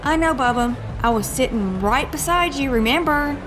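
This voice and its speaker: A woman's voice: high voice, expressive, normal speed, over music. An exasperated, sardonic lady agent. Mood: wry. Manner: dry, sarcastic, folksy scolding. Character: exasperated, sardonic woman agent, short on patience. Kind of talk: comedic audio drama acting.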